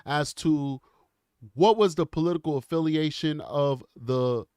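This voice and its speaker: A man's voice: on the high side, a little slow, a little mellow, clean tone, dry recording, studio quality. A man with a cold and a congested voice, hosting a casual livestream in a chatty, rambling way and laughing often. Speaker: show host.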